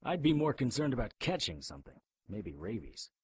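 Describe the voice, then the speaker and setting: A man's voice: medium pitch, big pitch swings, fairly quick, slightly rough, no echo. A man playing a blunt, skeptical private investigator in an audio drama, wry and urgent. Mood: wry, practical. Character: gruff, skeptical amnesiac private investigator hero.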